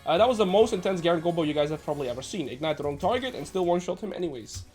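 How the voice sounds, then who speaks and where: A man talks quickly through gameplay like a coach, with a rather high voice. He sounds nasal and a little under the weather. Faint music plays behind him. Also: animated, a little mellow. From Netherlands. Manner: brisk, explanatory, joking asides. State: slightly sick. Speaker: game coach. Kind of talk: gameplay commentary.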